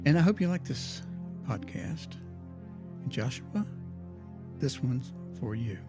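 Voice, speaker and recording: A man's voice: mid-range voice, sing-song, slow. Male podcast host over prominent music. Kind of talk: scripted podcast introduction.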